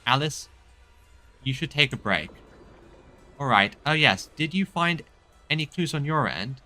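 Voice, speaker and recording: An animated man streams a video game, reading its dialogue out and commenting as he goes. His voice is bright and swings widely in pitch, with faint game music behind. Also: a little slow.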